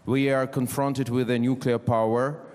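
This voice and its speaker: A man's voice: medium pitch, level tone, moderate pace, a little mellow. A man from Ukraine asking a panel a direct, firm question. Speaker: audience member asking a question. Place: large conference hall. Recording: long hall reverb.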